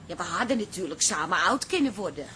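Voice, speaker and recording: An actress playing a frank, affectionate middle-aged wife in a radio drama, teasing and down-to-earth, with hesitant pauses. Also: female voice, on the low side, expressive, bright, forceful tone, a little hiss or hum. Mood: hesitant, tender.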